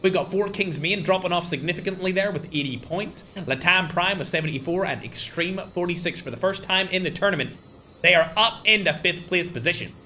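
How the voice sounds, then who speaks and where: Young male stream caster, bright forceful tone, slightly high, chatty live esports commentary. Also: a little fast, a little hiss or hum. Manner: chatty, talks straight to chat, animated bursts. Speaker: tournament host and caster.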